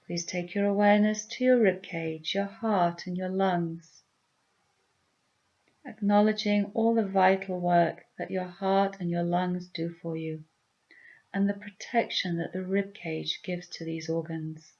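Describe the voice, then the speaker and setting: A woman leading a guided meditation with gentle, soothing, deliberate instructions. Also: fairly low pitch, steady tone, highs cut off.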